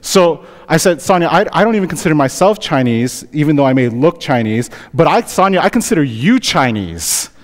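A man's voice: a little high, big pitch swings, fairly quick, few pauses, long reverb tail. A Canadian man, a pastor, delivering a conversational sermon to his congregation, often asking "right?". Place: church sanctuary.

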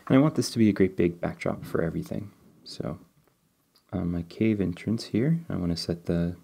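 Deep-voiced, mellow, slightly sniffly male tutorial host; easygoing, explains as he clicks. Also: creaky. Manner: relaxed, step-by-step, thinking aloud with fillers. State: sniffly, getting over a cold. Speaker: game development tutorial host. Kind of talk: screen-recorded coding tutorial.

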